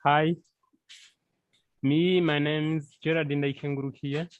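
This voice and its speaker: A young man in his twenties introduces himself slowly and flatly. His voice is on the higher side. The call audio is dry and dull. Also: no echo. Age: 20s. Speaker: scout group secretary. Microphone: video call.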